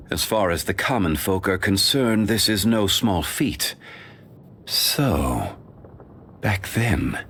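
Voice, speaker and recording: An actor playing an ancient, composed male stone god in a game, speaking in a measured, formal, unhurried way. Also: low-pitched, fairly bright tone, slight background noise, heavily acted. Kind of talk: game character voice lines.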